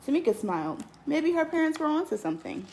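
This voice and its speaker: A woman teacher reading a picture book aloud in an expressive, lilting storytelling manner. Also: high-pitched, fast, a little hiss or hum. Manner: expressive, lilting storytelling with lifts on key words.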